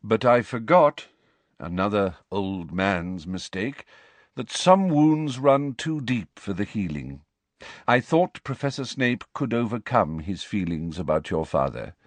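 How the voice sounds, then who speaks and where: A wise old wizard headmaster from a storybook, voiced by a male narrator. He sounds weary and solemn, choosing his words quietly and calmly, with a widely moving melody in a dry, close recording. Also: on the low side, dry recording. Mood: regretful. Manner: quiet, measured, grave. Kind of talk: dramatic audiobook character reading.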